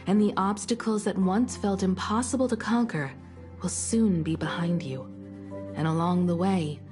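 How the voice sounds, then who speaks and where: A woman playing a composed, caring starship navigator in a video game, speaking in a gentle, reflective, measured way like a proud mentor. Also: lowish voice, close to an everyday voice. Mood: warm, reassured. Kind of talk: video game story dialogue.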